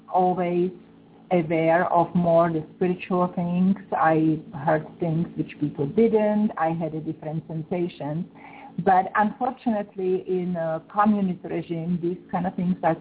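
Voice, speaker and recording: A woman from the Czech Republic with a Czech accent, a guest pet psychic on a call-in show, speaking careful, halting English. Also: on the low side, steady tone, a little hiss or hum. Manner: careful, halting English with small grammar slips. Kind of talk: call-in radio chat. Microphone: Skype call. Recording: thin phone-line sound.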